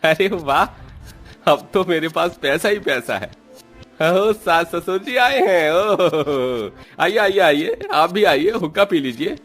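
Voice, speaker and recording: A man's voice: on the high side, expressive, slow, fairly bright tone, soft background music, pitched above the performer's own voice. A man voicing a gloating, heartless young husband in a cartoon story, mocking and callous.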